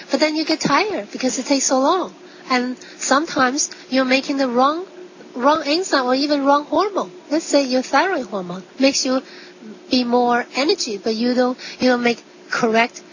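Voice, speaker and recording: A woman with a fairly high voice explains as a physician being interviewed. Her phrases are short and halting, with simple non-native wording. The sound is a little dull. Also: a bit bright. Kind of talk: conversational explaining with simple examples. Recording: dull sound, faint background noise.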